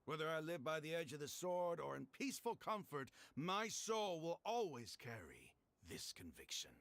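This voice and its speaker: A man's voice: fairly high pitch, big pitch swings, normal speed, a bit bright, no echo. An actor playing a proud, hostile male desert mercenary leader in a video game, speaking with scorn and drama.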